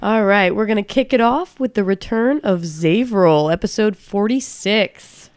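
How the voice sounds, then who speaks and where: A woman's voice: mid-range voice, a little slow, no room sound, studio quality. A young woman co-hosting a podcast, stuffed up from a cold, chatting in a laid-back, sarcastic way. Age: young adult. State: congested from a cold. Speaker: podcast co-host. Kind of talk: casual chat.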